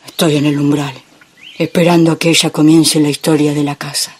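A man's voice: on the high side, little pitch movement, brisk, husky. A man playing a brooding, melancholy husband in a poetic theatrical monologue. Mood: brooding, insistent. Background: music.

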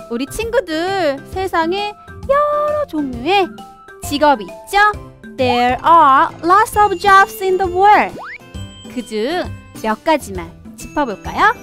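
A young woman from South Korea, a native Korean speaker, teaching English to children as a storybook reading guide in animated, exaggerated teacher talk. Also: high voice, drawn-out words, soft background music. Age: young adult. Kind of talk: children's storybook English lesson, switching between two languages.

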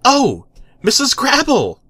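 A man's voice: very high-pitched, wildly swooping pitch, takes it slowly, sharp tone, slightly rough, dry recording, clean studio sound, pitched above the performer's own voice, exaggerated voice. A man voicing a timid, exasperated male superhero sidekick, stammering and apologetic, in a comic story reading. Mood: nervous, apologetic.

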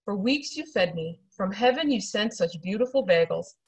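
Poor storybook man, read by a woman: humble and thankful, breathy, with expressive pitch. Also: normal speed, muffled recording. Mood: tearful gratitude.

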